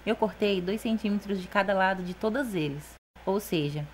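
Uma mulher brasileira na faixa dos vinte anos, com sotaque brasileiro, narrando em off um tutorial de artesanato com paciência e jeito de quem explica. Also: female voice, medium pitch, a little hiss or hum. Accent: Brazilian Portuguese. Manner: patient, explaining, often adds "gente" and "tá?". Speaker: DIY channel host. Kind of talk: step-by-step craft voice-over.

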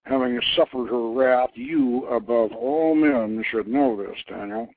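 Man plays mighty storybook blacksmith god: commanding, husky, emphatic, over a thin phone line. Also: medium pitch, measured pace. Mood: grave.

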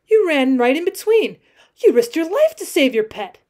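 Woman playing a proud, high-voiced young frontierswoman. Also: very animated, a little mellow. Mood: admiring. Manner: deliberate, saccharine. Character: proud young frontier woman, teasing, sharp, later softened. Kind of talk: acted storybook dialogue.

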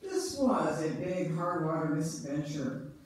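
A woman's voice: deep voice, big pitch swings, unhurried. A woman narrating a scripted story on stage as part of readers' theater. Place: church sanctuary stage, indoors. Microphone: distant audience camera. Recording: echoey church hall from far, faint noise.

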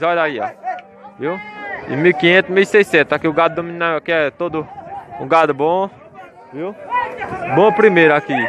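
A man walks through outdoor cattle pens, filming on his phone and chatting to his viewers, repeating his call-outs to them. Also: a little high. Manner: repetitive, chatty, keeps calling out to his audience. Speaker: vlogger touring a cattle fair. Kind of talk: casual walkaround commentary to viewers. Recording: outdoor market with crowd chatter.

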